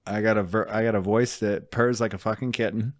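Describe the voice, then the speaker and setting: Man, podcast co-host, low voice, casual chat, joking then earnest. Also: steady tone, a little fast.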